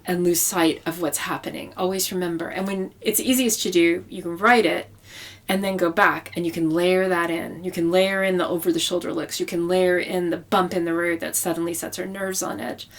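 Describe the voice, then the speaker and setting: A woman speaking as a novelist in an interview, explaining her craft conversationally and repeating phrases for emphasis. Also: medium pitch. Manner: explanatory, with emphatic repetition for effect.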